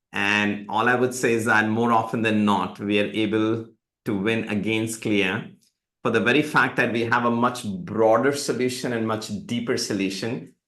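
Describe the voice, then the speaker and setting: A man's voice: fairly low pitch, echoey room. A middle-aged man from India, whose first language is Hindi, telling his company's story as a webinar guest, acting out the voices of the people he quotes. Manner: animated storytelling, quotes others in lively voices. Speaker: tech company CEO, guest. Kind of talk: live webinar interview.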